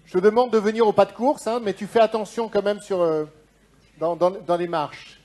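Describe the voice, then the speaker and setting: A man hosting a live startup pitch event, brisk and upbeat, calling people on stage. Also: fairly even intonation, a little mellow. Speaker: event host.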